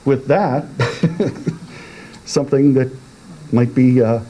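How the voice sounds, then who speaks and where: Very slow, theatrical male reciter. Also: mid-range voice, fairly even intonation, muffled recording, a little hiss or hum. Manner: deliberate, dramatic, with long pauses. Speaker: speaker giving a dramatic reading.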